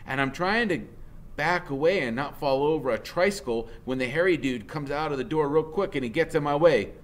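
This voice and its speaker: A man narrating a first-person story aloud in an expressive, dramatic way. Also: on the high side, brisk. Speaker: audiobook reader, teacher.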